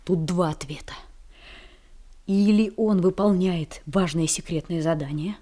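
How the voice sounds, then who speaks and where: A woman's voice: fairly low pitch, measured pace, mellow. A woman playing a serious, curious schoolboy in a children's radio play, reasoning earnestly and asking questions. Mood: earnest, deliberating.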